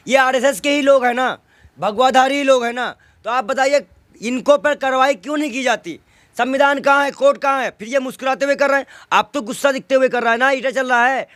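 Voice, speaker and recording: Male interviewee. High voice, dry sound, combative and emphatic political argument. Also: a bit bright, no echo. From North India. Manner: argumentative, emphatic, with rhetorical questions and repetition.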